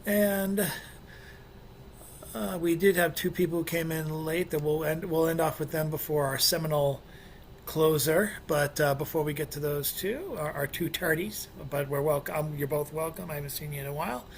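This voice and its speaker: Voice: medium pitch, steady tone, normal speed. An open mic host speaking casually while welcoming and introducing readers. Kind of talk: casual hosting. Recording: noisy online call, distant sound.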